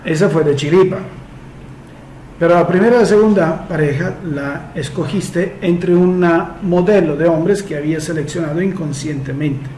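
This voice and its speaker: A man's voice: on the high side, moderate pace, darkish tone, slight background noise. Man explaining in an echoey room. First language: Italian. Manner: explanatory, uses homely comparisons. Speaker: host answering listener questions. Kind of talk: advice talk reading a letter and answering.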